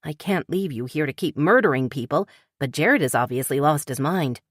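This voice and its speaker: A woman's voice: low-pitched, expressive, normal speed, no echo, studio quality. A woman voicing a blunt, suspicious woman writer who questions sharply and demands answers. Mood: demanding, tense.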